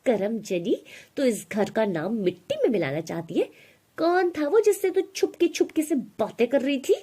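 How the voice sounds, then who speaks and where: Scolding stepmother, performed by a woman narrator: middle-aged, bossy, mellow tone with a raspy edge. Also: on the high side, big pitch swings, normal speed. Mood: accusing. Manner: threatening, berating.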